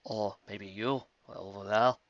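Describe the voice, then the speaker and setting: A man plays a battered but tough pegasus mare from a storybook, speaking low with a growling edge. She sounds calm and menacing. The recording is dry and slightly dull. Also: slow pace, no echo.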